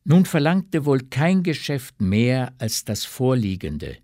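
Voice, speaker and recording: Man narrating a radio drama, slow and very varied pitch, dry close sound. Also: big pitch swings, a little mellow, no echo.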